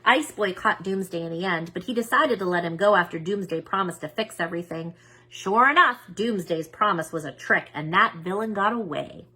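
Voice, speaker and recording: Bright, husky woman reading aloud. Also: lowish voice, normal speed. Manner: expressive, emphatic. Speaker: children's book reader. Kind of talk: animated read-aloud story narration.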